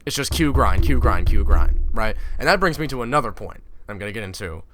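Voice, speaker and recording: A man's voice: on the low side, lively intonation, a little fast, noisy background. Blunt, cussing gaming YouTuber guy. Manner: blunt, profane, conversational, with stumbling repeats. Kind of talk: casual ranting commentary.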